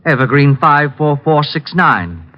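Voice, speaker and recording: An actor playing a smooth, defensive husband in an old-time radio drama, speaking in a formal, measured way. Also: male voice, a little high, sing-song. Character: smooth, defensive husband hiding behind polite explanations. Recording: dull old radio recording.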